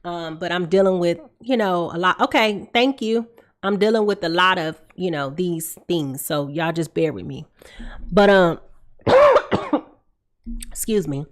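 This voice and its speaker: A woman's voice: lowish voice, big pitch swings, unhurried, long pauses. A woman in her thirties with a congested, stuffy voice, hosting a tarot livestream in a casual, confiding way, calling out to her viewers from a room at home. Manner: chatty, confiding, calls out to viewers. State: congested from a cold. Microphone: desk microphone in front of her.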